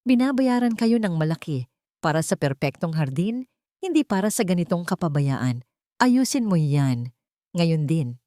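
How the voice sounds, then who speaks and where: Female narrator as imperious rich Filipina for audio drama; sugary yet cutting, raised pitch, dry sound. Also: very animated, unhurried, no room sound. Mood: cold scolding. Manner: sweet but sharp, imperious. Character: haughty, demanding rich young woman, sweetly cutting. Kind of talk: audio drama character reading.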